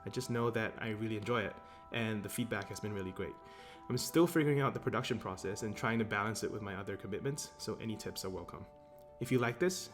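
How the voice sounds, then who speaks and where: A man hosting a podcast in a casual, informal and chatty conversational way. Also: lowish voice. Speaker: podcast host.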